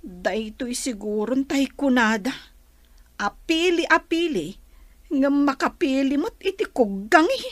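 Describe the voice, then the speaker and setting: A woman's voice: sits high, sing-song, slow pace, no echo, heavily acted. A woman playing an irresponsible, stubborn young wife in an Ilocano radio drama, whiny and sulky as she justifies herself. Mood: sulky, resentful.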